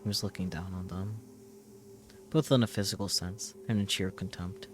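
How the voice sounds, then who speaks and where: A man streaming a visual novel, reading its narration aloud in a deliberate storytelling way. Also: deep voice, steady tone, a little hiss or hum.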